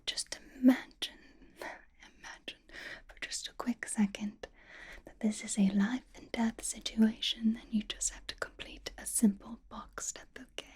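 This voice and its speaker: Elegant vampire lady, acted by a woman, whispered and very breathy, flirtatious and fondly exasperated, for intimate ASMR roleplay. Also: a little high, fairly even intonation, a little mellow. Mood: patient, pleading. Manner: coaxing, intimate, halting, slow.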